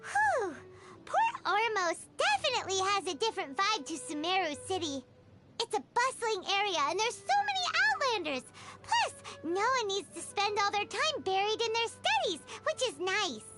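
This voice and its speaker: Squeaky, very high childlike fairy. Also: female voice, wildly swooping pitch, normal speed, over loud music, full character voice. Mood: cheerful. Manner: bubbly, exaggerated, speaks of self in third person. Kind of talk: anime video game voice acting.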